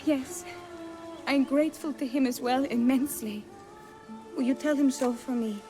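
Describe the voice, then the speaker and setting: A woman's voice: sits high, moderate pace, warm tone, natural voice. A woman playing a refined young noblewoman in a fantasy video game, earnest and resolute, with formal, precise phrasing. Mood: grateful, reserved. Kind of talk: video game cutscene acting. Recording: faint music under the voice.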